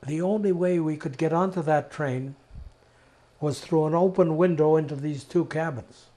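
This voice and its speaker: An elderly man from Czechoslovakia giving personal testimony, telling his story deliberately in short, emphatic phrases with pauses. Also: fairly high pitch, dark tone. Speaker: interviewee, Holocaust survivor giving testimony. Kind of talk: personal oral history testimony.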